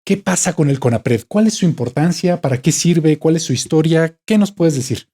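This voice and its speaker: A man with a Mexican accent hosting a legal podcast, asking questions in a friendly, measured way. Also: fairly high pitch, expressive, warm tone, husky. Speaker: podcast host. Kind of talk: interview questions, casual.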